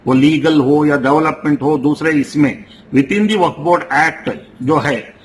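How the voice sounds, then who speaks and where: A man with a South Indian Deccani Urdu accent explaining himself in a news interview, halting and repeating his words as he insists on his point. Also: on the high side. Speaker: Wakf board chief executive, interviewee. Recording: noisy interview recording with ambient sound.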